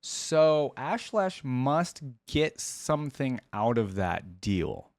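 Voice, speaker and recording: A man's voice: mid-range voice, sing-song, slow pace, a little mellow, dry recording. A man giving casual reaction commentary as a channel host, musing and thinking aloud.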